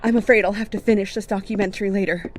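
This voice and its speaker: A woman's voice: medium pitch, steady tone, rapid-fire, crowd noise, close to an everyday voice. A woman playing a self-important but jittery young documentary maker in a horror audio drama, chattily narrating her surroundings. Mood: theatrical bravado.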